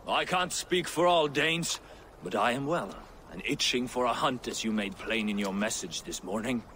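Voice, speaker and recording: An actor playing a gruff Danish warrior in a video game, speaking curtly and bluntly. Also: male voice, mid-range voice, lively intonation, moderate pace, sharp tone, slight background noise. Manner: curt, blunt. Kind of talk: video game drama acting.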